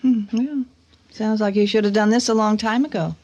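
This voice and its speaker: A woman's voice: medium pitch, fairly even intonation, moderate pace, a little mellow, lo-fi sound, close to an everyday voice. A woman playing a cool, sardonic wife and mother in her forties in a radio drama. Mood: dry, grudging.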